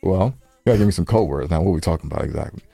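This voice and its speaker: A man's voice: deep voice, rapid-fire, creaky, mellow, slightly rough, dry recording, faint music behind. An American man co-hosting a podcast, joking casually and repeating phrases playfully for emphasis. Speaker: podcast co-host.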